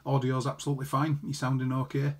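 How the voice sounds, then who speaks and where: A man's voice: medium pitch, monotone, fast talker, slightly rough. A man chatting casually as an amateur radio operator, reading out callsigns and figures. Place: indoor room beside a desktop transceiver.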